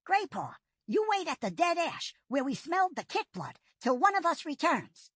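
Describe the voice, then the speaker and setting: An eager young tomcat apprentice pleads earnestly, as a woman reader puts him on. His voice is lifted high and swings widely. The sound is dry and close, an audiobook reading. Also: sing-song, moderate pace, fairly bright tone, dry recording. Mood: urgent. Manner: earnest and urgent.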